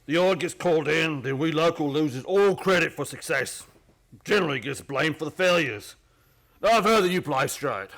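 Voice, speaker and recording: An actor playing a plain-spoken, eager local police sergeant in a radio play, folksy, deferential and a little hesitant. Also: male voice, a little high, animated, moderate pace, creaky, fairly bright tone. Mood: wary, frank.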